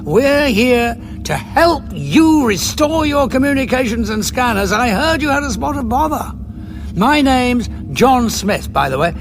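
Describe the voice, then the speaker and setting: A man's voice: extremely high pitch, very animated, a little slow, heavily acted. An actor playing a wily time-travelling adventurer in a sci-fi audio drama, bluffing his enemies with breezy, polite, slightly hesitant patter. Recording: music bed behind voice.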